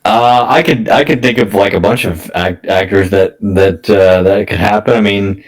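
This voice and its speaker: A man in a casual film discussion as a podcast guest, speaking haltingly and often repeating phrases. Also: lowish voice, rough recording.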